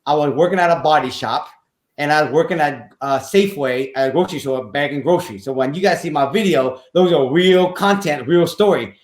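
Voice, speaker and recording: A man's voice: a little high, brisk, reverberant. Earnest man in his fifties, from Vietnam. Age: 50s. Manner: earnest, repeats phrases, slightly nonstandard English grammar. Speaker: podcast guest, real estate investor. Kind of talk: casual interview storytelling.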